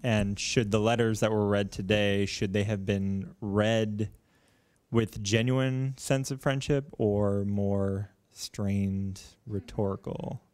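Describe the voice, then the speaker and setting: A man's voice: fairly low pitch, steady tone, slow pace, a little mellow, no echo. A man in an audience asking a question in careful, hesitant, formal phrasing. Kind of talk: spoken question at a public talk. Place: indoor meeting room, in the audience.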